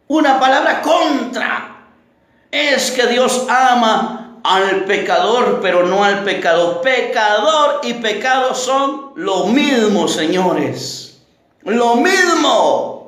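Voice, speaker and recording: A man preaching a fiery evangelical sermon, emphatic and declamatory, with repeated phrases and rhetorical questions. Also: very high voice. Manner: declamatory, often rising to shouts. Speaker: preacher, pastor. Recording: very echoey, reverberant room sound.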